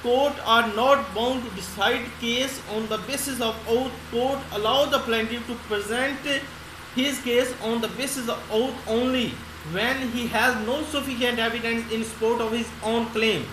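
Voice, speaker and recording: A woman with a Pakistani accent lectures on law in a slightly high voice, reading from notes and restating each point. Her overall delivery is very slow and deliberate. The recording is echoey, with clear noise behind her. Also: fairly even intonation. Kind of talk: lecture reading English notes and explaining them. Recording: roomy echo, loud background noise.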